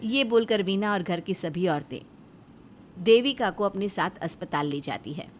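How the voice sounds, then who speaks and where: A woman narrates a scripted moral story. Her voice sits at a medium pitch, and she tells it in the role of storyteller. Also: lively intonation, moderate pace, soft background music.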